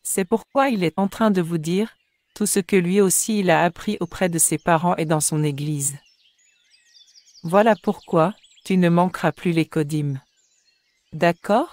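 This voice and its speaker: A woman's voice: lowish voice, unhurried, darkish tone, no echo, close to an everyday voice. A woman voicing a caring, instructive mother in a children's cartoon. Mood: gently instructive.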